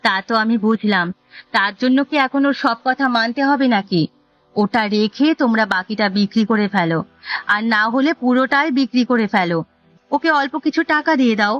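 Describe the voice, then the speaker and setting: A woman's voice: a little high, expressive, brisk, fairly bright tone. A woman voicing a conniving, nagging village wife in a cartoon, with an insistent, goading delivery. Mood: scolding. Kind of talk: Bengali cartoon story dubbing. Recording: dull lo-fi audio, music bed.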